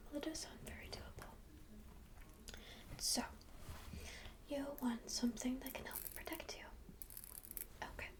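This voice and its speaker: Kindly kit spellcaster played by a woman, high-pitched and whispered, gentle, rambling, welcoming, for fantasy ASMR roleplay. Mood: musing, helpful. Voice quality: soft, breathy whisper. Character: kindly, chatty cat-like kit spellcaster, musical healer.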